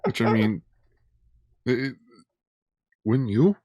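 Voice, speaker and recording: A man co-hosting a podcast in casual fan chat, haltingly repeating his first words. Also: medium pitch, lively intonation, mellow, no room sound, studio quality. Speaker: podcast co-host.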